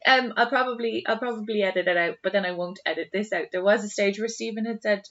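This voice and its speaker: An Irish woman with an average-pitched voice chats casually as a podcast co-host. She is talkative and likes to act out what people might say. The recording is a little muffled. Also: fast, a bit bright. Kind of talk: casual chat.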